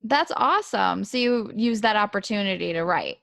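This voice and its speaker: Creaky-voiced woman hosting an interview. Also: mid-range voice, expressive, normal speed, a bit bright, no room sound, clean studio sound. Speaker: podcast host, interviewer. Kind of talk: casual interview conversation.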